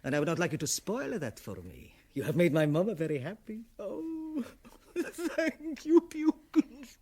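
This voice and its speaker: Gangster boss for radio comedy: high, swooping, theatrical, menacing and childish. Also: male voice, big pitch swings, unhurried, mellow, slightly rough, exaggerated voice. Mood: smug, pleased. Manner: mangled English, taunting, theatrical. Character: swaggering, spoiled, menacing gangster boss, a mother's boy. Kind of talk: comic radio play acting.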